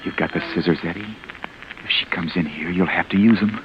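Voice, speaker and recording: A young actor in a 1940s radio drama playing a frightened fugitive who narrates in a tense, hushed voice, heard through a muffled old broadcast with loud hiss. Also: male voice, sits low, fairly quick. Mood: whispering. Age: young adult. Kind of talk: 1940s radio acting, first-person narration.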